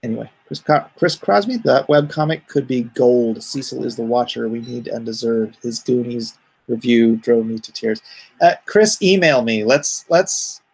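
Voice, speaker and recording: Gravelly middle-aged man live streaming. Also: mid-range voice, moderate pace, poor audio. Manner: calls himself soothing and even-tempered; reads chat comments aloud and answers them. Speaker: live streamer reading chat. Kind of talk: casual live stream chat. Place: home.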